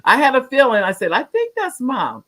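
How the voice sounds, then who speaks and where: A woman's voice: high voice, huge pitch swings, a little fast, fairly bright tone. A woman hosting a talk show, praising her guest effusively and talking in loose, rambling restarts. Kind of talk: casual interview chat.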